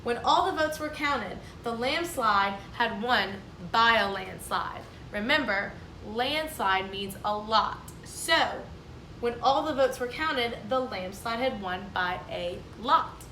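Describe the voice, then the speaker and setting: A woman, a kindergarten teacher, reading a storybook aloud to children in a clear, explaining, sing-song manner. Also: a little high, expressive, slow, pushed and bright, a little hiss or hum. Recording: echoey room, mic at a distance.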